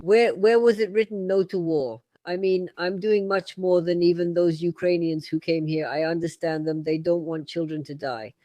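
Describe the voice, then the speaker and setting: High-voiced middle-aged radio host. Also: male voice, fairly even intonation, normal speed, few pauses, warm tone, dry recording. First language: Russian. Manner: retells scenes acting out both sides of the dialogue. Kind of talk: animated talk-radio storytelling.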